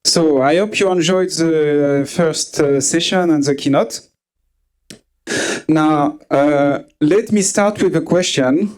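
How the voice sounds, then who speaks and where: A man in his forties from France with a French accent, giving a conference talk to a live audience, pausing often with fillers and restarts. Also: fairly high pitch, darkish tone. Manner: hesitant, many uh fillers and restarts. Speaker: conference speaker.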